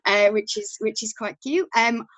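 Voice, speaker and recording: A woman's voice: on the high side, moderate pace, dry recording, rough recording. A woman from Yorkshire with a Yorkshire accent, a podcast guest talking casually, with plenty of ums and restarts. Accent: Yorkshire English. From Yorkshire, England. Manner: chatty, many ums and restarts. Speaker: podcast guest, company founder. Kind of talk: casual interview talk.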